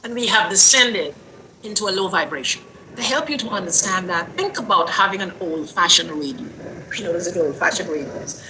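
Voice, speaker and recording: An older woman from Guyana, an interview guest telling a personal story in an earnest, unhurried way that lingers on words. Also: on the low side, a crowd in the background, poor audio.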